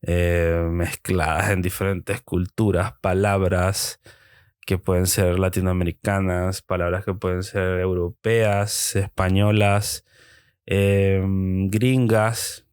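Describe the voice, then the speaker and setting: A young man with a Venezuelan accent speaks slowly in a very low voice. He hosts a solo podcast and thinks aloud, often stopping on ums and restarting. The sound is dry and close, with no room around it. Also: flat delivery, darkish tone, clean tone, no echo. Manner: hesitant, with frequent ums and pauses. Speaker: podcast host. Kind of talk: solo reflective monologue.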